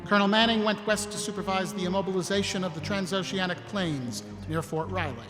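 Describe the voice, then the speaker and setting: A man's voice: high voice, steady tone, fast, lightly acted. Echoey hall, music behind, man acting political aide, wry, conversational, audio drama. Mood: wry, curious.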